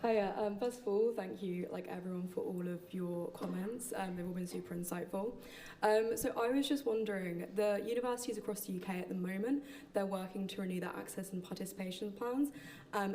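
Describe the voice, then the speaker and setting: A woman's voice: mid-range voice, fairly even intonation, fairly quick, a little mellow, slight background noise. A young woman asking a long, friendly question, with many fillers. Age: young adult. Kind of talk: question from the audience.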